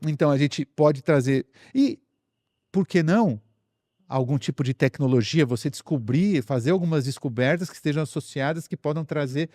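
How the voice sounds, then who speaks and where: Brazilian guy explaining science casually, kinda high voice, repeats stuff for emphasis, very dry sound. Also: normal speed, dark tone, dry recording. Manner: explains step by step with repetition for emphasis. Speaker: guest science expert.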